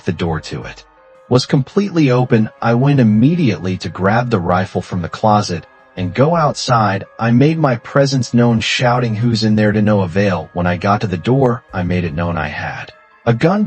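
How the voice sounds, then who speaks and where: A man's voice: on the low side, a little mellow, slightly rough. A man reading scripted first-person horror stories as a narrator. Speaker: horror story channel narrator. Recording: music bed under voice. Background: music.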